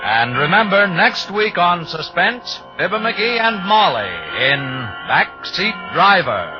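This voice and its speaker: Man, network announcer, animated, formal delivery, dull vintage sound, music underneath. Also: sits high, slow pace. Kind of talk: mid-century radio announcing. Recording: muffled old radio, music behind.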